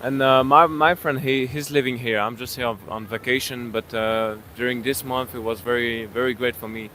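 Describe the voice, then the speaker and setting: A man's voice: mid-range voice, steady tone, normal speed, sharp tone, a little hiss or hum. A man from Belgium on vacation, answering questions on the street in simple, repetitive phrases. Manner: simple phrasing, repeats words. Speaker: tourist on vacation. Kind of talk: casual street interview.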